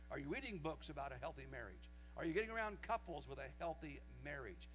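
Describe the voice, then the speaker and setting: A man in his sixties preaches in a fairly high voice, reading scripture aloud and building to loud, raised passages when he quotes it. The recording is dull and lo-fi, with a faint mains hum underneath. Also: gravelly. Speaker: pastor. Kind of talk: sermon.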